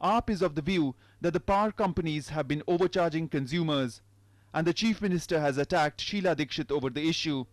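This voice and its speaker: Man, Indian accent, TV reporter, scripted voice-over, fairly high male pitch, dry recording. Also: fairly quick, no room sound. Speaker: television news reporter.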